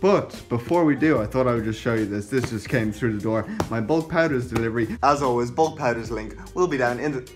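A man's voice: medium pitch, fairly quick. A young man in his twenties with an Irish accent, vlogging a food challenge, exclaiming and laughing between bites while filming himself at arm's length in a parked car. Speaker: fitness vlogger. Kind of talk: casual food vlog. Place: parked car, and a kitchen at home. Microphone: camera held at arm's length. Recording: music behind. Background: music.

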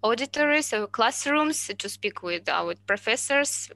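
A woman in her thirties answering questions in a university webinar, speaking careful, slightly halting English over a video call. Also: on the high side, animated, bright, forceful tone. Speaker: university admissions presenter. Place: indoors with a virtual background. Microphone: headset on a video call.

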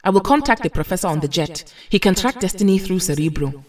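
A woman's voice: on the low side, animated, fast talker, darkish tone, recorded from a distance, lightly acted. A woman playing a composed, regal superhero team leader in an audio drama. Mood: firm, composed.

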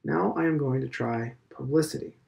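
A man voicing a stern, preachy elderly headmaster who lectures with slow, heavy emphasis. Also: mid-range voice, creaky, darkish tone. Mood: insistent. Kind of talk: audiobook character reading.

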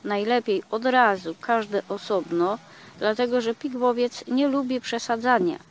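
Slow-talking woman in a garden. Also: fairly high pitch, slight background noise. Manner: hesitant, many filler sounds. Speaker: gardening vlogger. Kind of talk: casual explanatory gardening talk. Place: outdoors in a garden.

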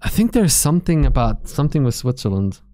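A man in his thirties streaming from a small gaming room into a boom mic, chatting casually with his viewers, joking and swearing freely. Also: sing-song, quick pace, mellow, no room sound. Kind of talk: casual chat reading live chat. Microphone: studio mic on boom arm, headphones.

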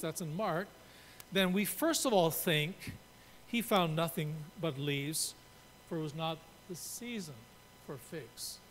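Older man preaching in a reverberant church. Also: a bit bright. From Canada. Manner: explanatory, gesturing at a pulpit. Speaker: pastor. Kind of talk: sermon. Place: church sanctuary. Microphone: pulpit mic. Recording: reverberant church room, faint rumble.

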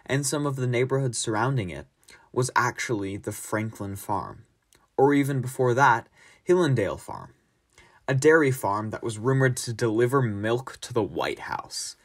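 Young male narrator, middle register, scripted tour-guide read for a student history piece. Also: mid-range voice. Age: young adult.

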